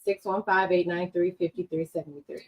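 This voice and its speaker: A woman's voice: lowish voice, little pitch movement, a little fast, a little mellow, low-quality recording. A woman speaking as a radio co-host, giving brief announcements and interjections.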